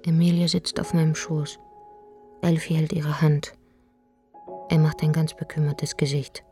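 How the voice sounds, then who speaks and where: An actress playing a middle-aged woman who narrates her story in first person in a radio drama, in a quiet, inward way. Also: low-pitched, flat delivery, measured pace, soft background music. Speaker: narrator and main character.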